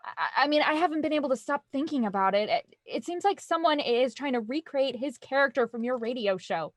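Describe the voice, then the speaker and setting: A woman playing an anxious, stubborn and wry young woman in a naturalistic audio drama, with halting, stammering speech. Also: sits high, lively intonation, dry recording. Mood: insistent. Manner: halting, stammering, rambling when nervous.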